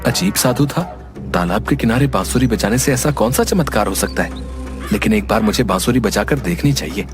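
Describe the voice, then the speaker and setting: A man playing an earnest, touchy young villager looking for work in an animated story, plain-spoken in manner. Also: fairly low pitch, a little fast, over music, natural voice. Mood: curious. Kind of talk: Hindi animated story dub.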